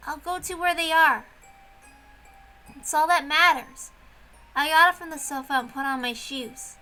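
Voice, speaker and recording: Dazed young girl narrator for a visual novel voiced by a woman: high, lonely, simple and wistful delivery. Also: a little slow, bright, forceful tone, faint music behind, poor audio. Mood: dreamy resolve.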